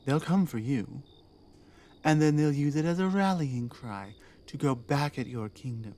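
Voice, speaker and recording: A woman plays an imperious orc queen in an intimate ASMR audio drama. She speaks slowly and deliberately in a dark, mellow tone, ruthless and distrustful yet seductive, leaving weighty pauses between phrases. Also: a bass voice, animated. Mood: grim, menacing.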